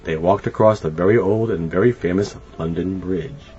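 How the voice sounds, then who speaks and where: Guy with a lowish voice narrating a kids storybook, super expressive, bit lo-fi with music behind. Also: normal speed. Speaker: storybook reader. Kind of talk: scripted children's story narration. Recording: dull lo-fi sound. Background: light music.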